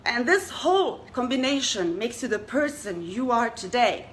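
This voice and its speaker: A woman's voice: a little high, sing-song, moderate pace. A young woman from Germany hosting a talk show, speaking to an audience in an earnest way and asking them rhetorical questions. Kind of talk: addressing an audience.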